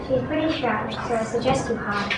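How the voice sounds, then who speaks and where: A woman's voice: on the high side, brisk, natural voice. Female reader as friendly herder boy in amateur play, plain talk, far from mic, noisy room. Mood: chatty. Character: poor friendly buffalo herder boy, matter-of-fact. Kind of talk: amateur readers theatre performance. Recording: echoey room from far, clear noise.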